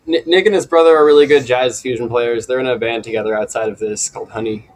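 Young male band member, medium pitch, relaxed informal chat. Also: fast talker. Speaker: band member, interview guest. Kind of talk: casual chat.

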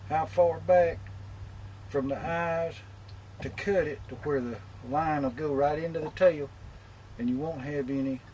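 A man's voice: a little high, a little mellow. A man giving a plain-spoken, casual how-to demonstration of rigging a fishing lure on a boat. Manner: plain-spoken, instructive, talks while handling tackle. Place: outdoors on a boat on open water. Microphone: camera mic, filmed close up. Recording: noisy outdoor camera audio.

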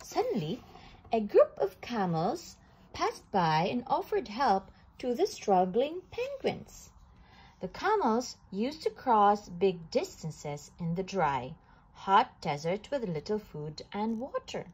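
A woman reading a children's picture book aloud, slowly and with animated delivery. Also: mid-range voice, husky. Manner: slow, animated storytelling with occasional restarts. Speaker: storybook reader.

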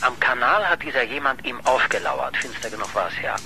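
An actor playing a pushy, sardonic reporter in a German radio crime drama, insistent and teasing. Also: male voice, mid-range voice, expressive, fairly quick, sharp tone, slight background noise. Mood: insistent, theorizing. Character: pushy, sardonic male reporter chasing a murder story.

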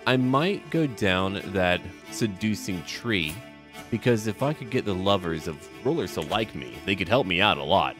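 A man with a deep voice narrates his strategy game as a roleplay. His pitch moves around expressively as he muses aloud in short, clipped remarks. Faint music plays behind him. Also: lively intonation, moderate pace. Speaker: gaming video host.

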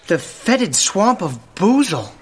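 An actor playing a naive, earnest young knight in a comic video game, formal and boyish. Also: male voice, sits high, sing-song, measured pace, slight background noise. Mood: doubtful. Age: young adult.

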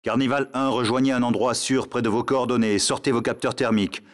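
Stern military radio controller, medium pitch, formal, measured, authoritative, video game. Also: male voice, steady tone. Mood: stern, businesslike. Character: stern male command radio operator. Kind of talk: scripted military radio chatter.

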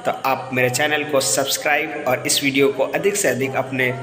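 A man's voice: mid-range voice, flat delivery, moderate pace, bright, forceful tone. A man, speaking casually to viewers as a vlogger on a phone held at arm's length outdoors. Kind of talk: casual festival vlog, addressing viewers. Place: crowded outdoor festival ground at night. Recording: echoey, reverberant phone recording outdoors.

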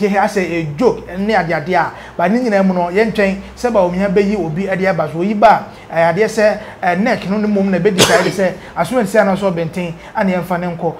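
A man's voice: sits high, slight background noise. A man in his thirties with a Ghanaian accent, presenting informal news commentary in a studio on a lapel mic. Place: indoor studio.

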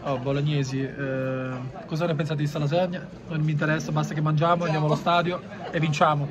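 A man in his twenties chatting excitedly as a football fan vlogger, slangy and repeating phrases for emphasis. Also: fairly high pitch, fast talker, highs cut off. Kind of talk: excited vlog chat and live reaction. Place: sponsor segment in a room at home, then a busy football stadium. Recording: music and crowd noise behind.

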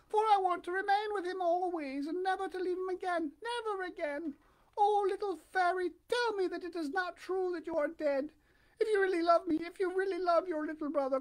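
A woman voicing a childlike wooden puppet boy in a storybook, with a plaintive, excitable, melodramatic manner. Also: very high-pitched, voice pushed up. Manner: plaintive, melodramatic, breathy exclamations.

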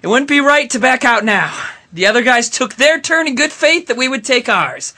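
A man playing an excitable, earnest and melodramatic boy in a cartoon dark comedy. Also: extremely high pitch, measured pace, exaggerated voice. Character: excitable, earnest boy, loyal to his friends. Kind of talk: animated dark comedy dialogue.

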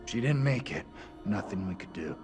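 An actor playing a grim middle-aged professor in a horror game, urgent, clipped and authoritative. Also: male voice, fairly low pitch, animated, normal speed, close to an everyday voice. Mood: grim, firm. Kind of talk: dramatic game cutscene acting. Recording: dramatic music behind.

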